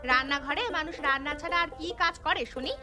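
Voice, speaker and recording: A woman voicing a bustling, sharp-tongued but caring mother in a cartoon, with a bossy, motherly manner. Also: high-pitched, fast talker, natural voice. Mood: sarcastic. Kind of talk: cartoon dialogue. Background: background music.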